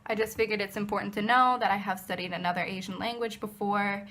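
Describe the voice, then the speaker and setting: A woman's voice: mid-range voice, steady tone, fast talker, a bit bright. Chatty young American woman vlogger. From United States. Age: 20s. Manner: chatty, rambling, many fillers and restarts. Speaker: vlogger, college student. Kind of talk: casual direct-to-camera vlog.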